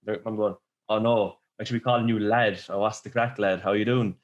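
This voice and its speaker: A man's voice: lowish voice, steady tone, lo-fi sound. Chatty Irish man from Limerick. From Ireland. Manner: chatty, laughs often, fast storytelling with quoted asides. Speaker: podcast guest. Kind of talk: casual chat.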